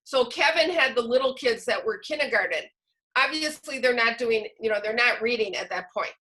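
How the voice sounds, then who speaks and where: Woman, bright voice, slightly high pitch, loud projection, encouraging, online teacher trainer, informal explanations. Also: expressive, fairly quick. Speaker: workshop presenter, teacher trainer. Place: online video call.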